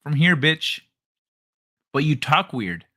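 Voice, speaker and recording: Man from Southern California, livestream host, casual and profane, bright and slightly high voice. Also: sing-song, takes it slowly. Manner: loose and profane, laughs a lot. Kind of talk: casual livestream chat, reading chat comments.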